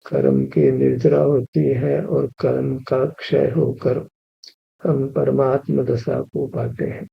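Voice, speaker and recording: Slow, gravelly-voiced male meditation guide. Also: mid-range voice. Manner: slow, even, instructive, repeats key phrases. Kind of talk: guided meditation with spiritual teaching. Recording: dull lo-fi recording.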